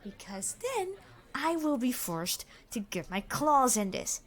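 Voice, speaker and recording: Woman acting high-voiced, exasperated supervillain. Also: big pitch swings, takes it slowly. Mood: stern, threatening. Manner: dry, formal, bossy, matter-of-fact. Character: famous immortal supervillain, exasperated, reluctantly decent. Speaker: robbery leader. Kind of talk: roleplay audio acting.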